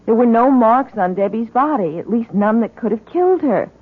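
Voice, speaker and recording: A woman's voice: a little high, animated, normal speed. A woman playing a tense, stubborn and wary young college student in a radio drama. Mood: wary, annoyed. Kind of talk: 1970s radio drama acting. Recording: muffled old radio recording.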